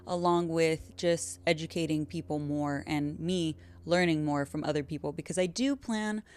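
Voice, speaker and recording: A woman's voice: deep voice, normal speed, darkish tone, clear, resonant tone. A woman in her twenties hosting her own podcast, chatting casually and upbeat to her listeners. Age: 20s. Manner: chatty, upbeat, self-described yapper. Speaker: podcast host. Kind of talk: casual solo podcast intro.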